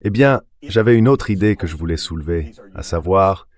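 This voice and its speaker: A man's voice: low voice, lively intonation, moderate pace, darkish tone, no echo. A man speaking as an expert panelist in a translated voice-over, reasoning through an argument.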